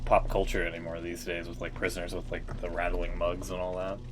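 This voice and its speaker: Man streamer, casual joking commentary, lowish voice, faint music behind. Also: completely flat delivery, a little fast. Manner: chatty, joking asides with fillers.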